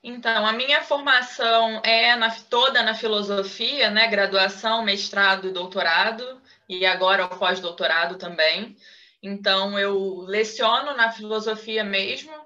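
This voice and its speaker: Bright-voiced Brazilian woman with a mixed Rio-Salvador accent. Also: medium pitch, steady tone, lo-fi sound. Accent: Rio de Janeiro with Salvador traces. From Brazil, Salvador and Rio de Janeiro. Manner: measured, academic, tags phrases with "né". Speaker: guest, philosopher and psychoanalyst. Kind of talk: interview answers.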